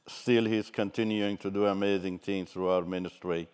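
An older man from Iran whose first language is Turkish, giving a measured, halting personal testimony as a ministry speaker. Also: low-pitched, steady tone, darkish tone, clear, resonant tone.

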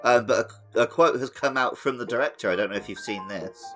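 A man's voice: mid-range voice, a little fast, a bit bright, faint music behind. A man hosting a podcast, chatting casually about video games. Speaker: podcast host.

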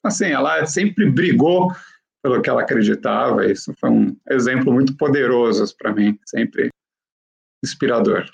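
A man with a Brazilian accent answers in an interview in a conversational way. His mid-pitched voice has a noticeable creak, and he tends to punctuate his points with little tag questions. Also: normal speed, lo-fi sound. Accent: Brazilian Portuguese. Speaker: interview guest, company professional.

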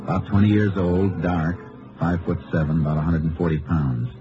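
Man playing a deep-voiced, terse western lawman. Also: steady tone, fairly quick, loud music behind the voice, natural voice. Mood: grave narrating. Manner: clipped, terse, matter-of-fact. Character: laconic Texas lawman investigator on horseback, stern and steady. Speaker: lead actor and narrator. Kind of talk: old-time radio western crime drama acting. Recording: muffled, noisy vintage radio recording.

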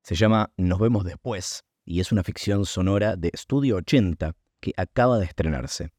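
Male podcast host, low dark voice, scripted promo read, dry close sound. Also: normal speed, dry recording.

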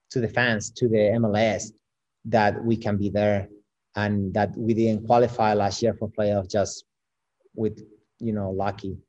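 A man whose first language is Spanish, a professional soccer player answering interview questions in English, often halting to search for words. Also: fairly low pitch, warm tone, muffled recording.

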